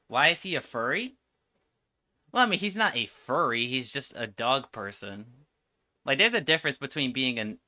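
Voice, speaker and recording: Male game streamer, mid-pitched, very sharp and bright, slangy off-the-cuff commentary with an exasperated edge. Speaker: streamer reacting to game trailers. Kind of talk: casual reaction livestream commentary.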